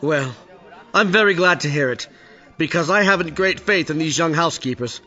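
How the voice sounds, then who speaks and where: An actor playing a cheerful, forgiving young gentleman in an audio play, good-humoured and amiable. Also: fairly high pitch, moderate pace, muffled recording. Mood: amused, good-natured.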